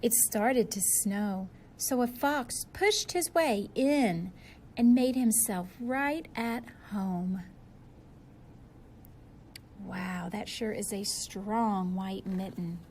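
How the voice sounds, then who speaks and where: A woman's voice: mid-range voice, extremely slow, a little mellow, slight background noise. A woman reading a picture book aloud like a teacher, expressively and with simple asides to young children.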